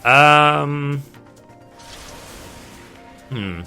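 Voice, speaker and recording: A man's voice: a little high, extremely slow, bright, forceful tone, smooth. A man with a British accent chatting casually and at rambling length with his live stream viewers as a gaming streamer. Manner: rambling, reading chat aloud and replying. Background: music.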